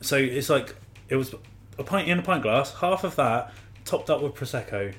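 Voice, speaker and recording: A man's voice: mid-range voice, expressive, moderate pace, fairly bright tone. A man in his thirties, a guest on a podcast, talking in a laid-back casual way and laughing often. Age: 30s.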